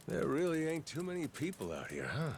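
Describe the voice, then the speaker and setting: An actor playing a gruff, easygoing Yakuza man with amnesia in a video game, speaking in rough, teasing, folksy slang. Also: a little high, wildly swooping pitch, a little fast, a little mellow, a little hiss or hum, exaggerated voice. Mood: easygoing, wry. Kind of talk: video game cutscene acting. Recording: faint music behind the dialogue.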